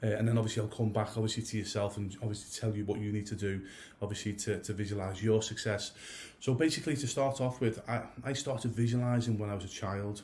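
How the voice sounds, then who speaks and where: A man's voice: on the low side, steady tone, quick pace, darkish tone, slight background noise. A man in his thirties or older giving a casual coaching talk to goalkeepers. He rambles, restarts and goes off on tangents, and his nose is stuffy from a cold. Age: 30s or older. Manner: rambling, many restarts and asides.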